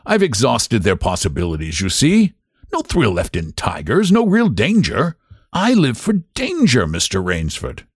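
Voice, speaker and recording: A man's voice: mid-range voice, wildly swooping pitch, breathy edge, no echo, studio quality, full character voice. A man voicing a suave, menacing hunter general. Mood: suave, boastful. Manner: courteous, slow and deliberate.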